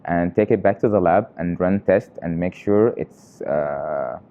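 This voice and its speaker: Deep, mellow young man, halting and earnest. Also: muffled recording. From Qatar. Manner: earnest, halting, often pausing on fillers. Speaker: research trainee interviewee. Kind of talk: casual interview answers.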